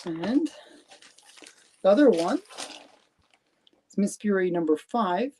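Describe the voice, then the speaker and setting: A Canadian woman with a Canadian accent hosting a live stream, talking in a rambling, halting way with lots of fillers and restarts. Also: medium pitch, animated, darkish tone, a little hiss or hum. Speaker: comic collector host. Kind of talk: casual live stream show-and-tell.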